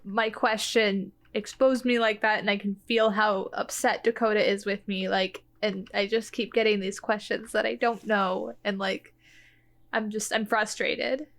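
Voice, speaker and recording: A woman playing a tabletop roleplaying game, talking in excitable, flustered chatter. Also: mid-range voice, measured pace. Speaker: player.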